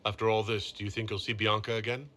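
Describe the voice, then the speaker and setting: A man's voice: lowish voice, level tone, fast, a bit bright, close to an everyday voice. A man playing a supportive, good-humoured leader in a video game, with plain-spoken delivery. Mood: reassuring. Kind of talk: video game cutscene acting.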